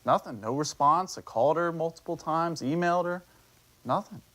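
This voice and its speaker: A man answering interview questions in a halting, conversational way. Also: a little high, a bit bright, a little hiss or hum. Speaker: interviewee in a news report.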